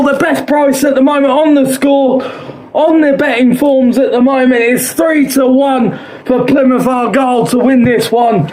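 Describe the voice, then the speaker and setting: A man with a hoarse, fading voice hosts his own show, predicting football scores in a chatty, repetitive way and filling pauses with "uh". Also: extremely high pitch, rough recording. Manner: chatty, quotes odds, repeats himself, frequent "uh".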